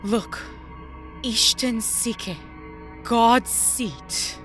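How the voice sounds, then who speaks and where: A woman's voice: fairly high pitch, very slow, fairly bright tone, faint music behind. A woman playing a reverent foreign fellow traveller in an audio drama. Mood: awed reverence. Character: reverent foreign travelling companion, pointing out sights.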